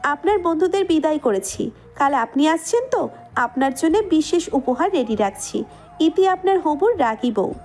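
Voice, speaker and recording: A woman playing an angry, sharp-tongued young bride in an audio story, scolding and defiant. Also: high voice, animated, moderate pace. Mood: menacing. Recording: music behind the voice.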